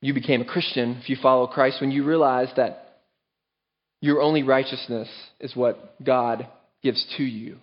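An earnest male pastor preaching in a church, driving his points home with firm emphasis. His voice sits at a middle pitch for a man. The recording sounds a little dull and lo-fi. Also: steady tone, normal speed. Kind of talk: sermon.

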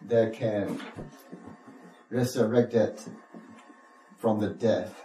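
A man from Burma with a Burmese accent, telling his church testimony slowly in simple non-native English. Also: lowish voice, steady tone, mellow, roomy sound, distant mic, slight background noise. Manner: slow, simple phrasing with short laughs. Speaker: refugee giving testimony.

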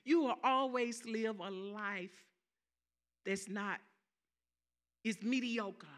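A woman's voice: high-pitched, sharp tone. A woman with a Southern accent preaching a sermon, emphatic, repeating key phrases and calling out for amens.

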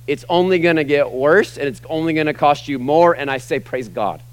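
A middle-aged American man preaching a sermon to a church congregation, emphatic, moving between loud, punchy lines and quiet, low asides. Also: brisk. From United States. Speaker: pastor. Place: church sanctuary.

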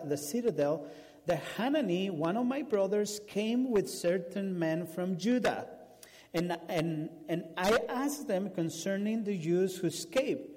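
A man with a Spanish accent, whose first language is Spanish, preaching a sermon and telling a story with animated restarts. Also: high voice. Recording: long hall reverb.

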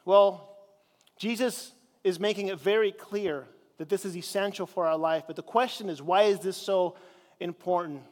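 A man's voice: high-pitched, in a large hall. A man from Chile preaching a sermon in a conversational way, drawing out key words for emphasis. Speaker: pastor. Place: church sanctuary.

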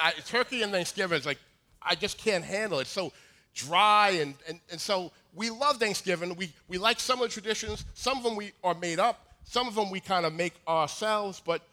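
A man in his forties with a high voice, preaching a sermon in a joking, conversational way with many restarts. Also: sharp tone. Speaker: pastor. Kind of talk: sermon with humorous asides.

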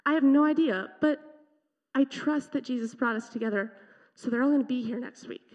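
A woman's voice: high voice, brisk. Earnest woman in a reverberant church. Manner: earnest, emotional, loud voice. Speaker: youth leader sharing testimony. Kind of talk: church testimony talk. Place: church sanctuary. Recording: dull sound with long hall reverb.

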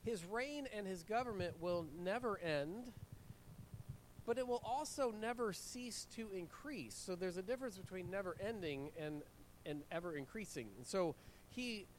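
A male pastor preaching a sermon in a church, hoarse from a cold and often clearing his throat. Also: high voice, moderate pace, a little hiss or hum, rough recording. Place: church sanctuary. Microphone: handheld mic.

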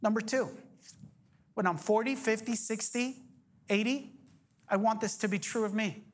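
A man in his forties preaching a sermon as pastor, energetic, joking and firing off rhetorical questions. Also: high voice, normal speed. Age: 40s.

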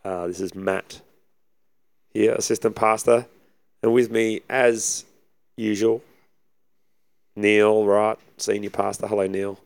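Husky man, low gravelly voice. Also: level tone, slow, long pauses, dry recording. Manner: easygoing, rambling with many fillers. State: husky from preaching. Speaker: assistant pastor, podcast co-host. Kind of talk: casual chat.

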